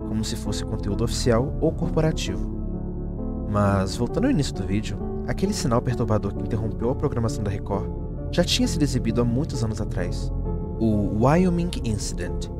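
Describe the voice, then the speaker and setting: A man with a Brazilian accent delivering scripted explainer narration in the manner of a video essay. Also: mid-range voice. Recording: music bed under the voice. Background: background music.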